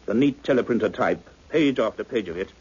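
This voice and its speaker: A man acting a thoughtful, learned scientist in an old radio science fiction drama, speaking in a grave, measured, scholarly way. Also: lowish voice, animated, darkish tone, husky. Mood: uneasy, reflective. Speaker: lead actor and first-person narrator. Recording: muffled, dull old radio recording.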